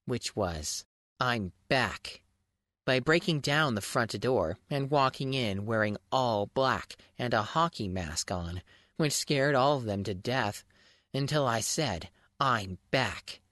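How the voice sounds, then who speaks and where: A man's voice: medium pitch, lively intonation, takes it slowly, a bit bright, no echo. A man reading a scripted first-person story aloud as a dramatic, mock-grand audiobook narrator. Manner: theatrical, mock-grand delivery. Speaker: fan fiction audiobook narrator.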